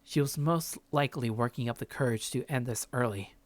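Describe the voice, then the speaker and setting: A man's voice: medium pitch, a little fast, dry recording. A man reading visual novel narration aloud as a let's play streamer, casual and sometimes stumbling over the text. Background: game music.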